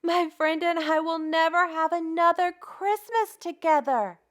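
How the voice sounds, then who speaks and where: A woman's voice: very high voice, a little slow, pitched above the performer's own voice. A woman voicing an anxious, devoted male toad in a storybook, speaking with dramatic emphasis. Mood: worried, fearful. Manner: dramatic, emphatic worrying and questioning.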